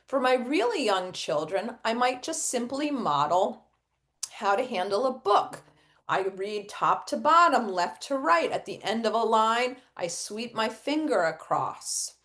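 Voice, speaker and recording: A woman's voice: a little high, big pitch swings, unhurried, a bit bright. A middle-aged woman giving an instructional webinar for educators, explaining step by step and posing questions that she then answers herself. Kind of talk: instructional webinar presentation with acted read-aloud examples.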